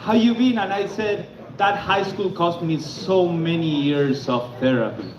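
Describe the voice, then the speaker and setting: A man from Argentina doing a stand-up comedy set on a club stage, stammering into deadpan punchlines. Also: high-pitched, measured pace, a crowd in the background. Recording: echoey club, lo-fi, noisy.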